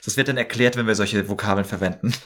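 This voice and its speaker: A man's voice: on the low side, fairly even intonation, fast, a bit bright. A man chatting casually as a podcast guest, explaining things with enthusiasm. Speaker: podcast guest, audio drama maker and actor. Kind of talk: casual interview chat.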